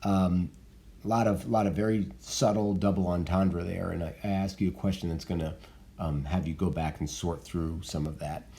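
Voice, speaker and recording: A man teaching a play, explaining scenes in a relaxed way with many fillers. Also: low voice, moderate pace. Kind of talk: casual literature commentary.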